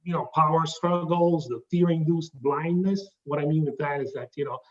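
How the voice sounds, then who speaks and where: A man with an Italian accent giving a pitch presentation, talking haltingly with many fillers and restarts. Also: recorded from a distance, dull audio. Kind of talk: pitch presentation to a live audience.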